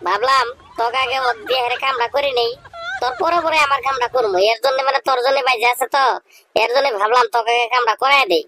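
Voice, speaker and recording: A man voicing a scheming, pushy village bachelor in a comic cartoon, with a pitched-up voice and a rural regional Bengali accent, talking in a colloquial, badgering way. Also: steady tone, fast talker, pushed and bright, slight background noise. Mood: coaxing, scheming.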